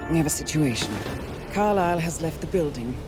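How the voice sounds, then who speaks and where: Poised female spy handler: lowish voice, expressive swings, resolute, cinematic game, loud score behind. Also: brisk. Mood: businesslike briefing. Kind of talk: scripted cinematic game briefing. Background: loud cinematic music.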